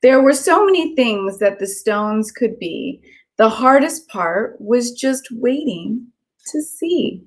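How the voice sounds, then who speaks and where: Woman with a higher-pitched, chatty voice. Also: expressive, takes it slowly. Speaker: children's author reading her own book aloud. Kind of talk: casual chat and animated read-aloud for children.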